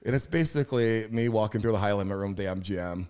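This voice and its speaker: A man's voice: lowish voice, fast talker, darkish tone, smooth. A man with a slight lisp, a podcast guest talking bluntly and with a lot of swearing. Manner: blunt, profane, emphatic; laughs while telling stories. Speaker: guest, entrepreneur. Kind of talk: casual podcast chat.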